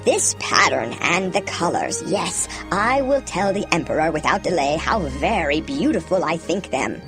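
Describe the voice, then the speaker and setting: A woman's voice: on the low side, very animated, a little slow, pitched below the performer's own voice. A woman voicing a faithful old wise man, an anxious royal adviser in a storybook, fretful and self-questioning. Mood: falsely admiring. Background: background music.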